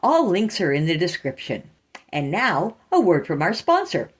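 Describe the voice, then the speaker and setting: A woman's voice: lowish voice, very animated, moderate pace, a little hiss or hum. A woman hosting and narrating scripted horror fiction in a theatrical, sinister storytelling manner.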